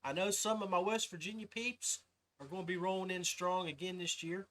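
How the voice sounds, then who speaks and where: A man with a notably high-pitched voice hosts a casual podcast conversation. He talks in an informal, folksy way, like someone chatting with friends. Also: fairly even intonation, brisk, a bit bright. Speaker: podcast host. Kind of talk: casual interview chat.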